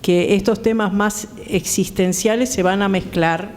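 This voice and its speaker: A woman's voice: steady tone, measured pace. A woman with an Argentine accent speaking thoughtfully as a panel guest, tentative and searching for her words. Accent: Argentine Spanish. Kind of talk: thoughtful conversational talk. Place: theatre stage. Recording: background noise with low rumble.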